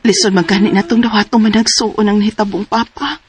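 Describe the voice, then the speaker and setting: A woman in her forties with a Cebuano accent playing a single daughter who cares for her mother in a radio drama, earnest and rising high in surprise. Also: steady tone, a little fast, close to an everyday voice. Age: 40s. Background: music.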